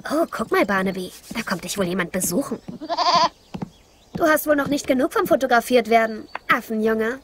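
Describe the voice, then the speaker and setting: A woman playing a cheeky, teasing teenage girl in an animated film, playful and sarcastic. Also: a little high, brisk, lightly acted. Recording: clear background noise.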